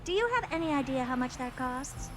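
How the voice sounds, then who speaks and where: A woman voicing a haughty, blunt young genius scientist in a video game, dry, brisk and self-assured. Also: sits high, expressive, background noise. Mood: smug, exasperated.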